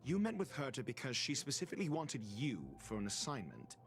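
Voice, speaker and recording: A man's voice: medium pitch, very animated, fairly quick, over music. An actor playing an arrogant, impatient male scholar in a video game, questioning with condescension and sarcasm. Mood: skeptical, probing. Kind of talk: scripted video game voice acting.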